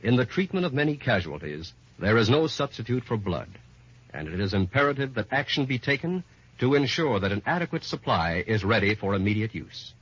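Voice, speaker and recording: Husky American 1950s radio announcer, male. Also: medium pitch, big pitch swings, brisk, fairly bright tone. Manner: formal, emphatic. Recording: muffled, lo-fi old radio recording.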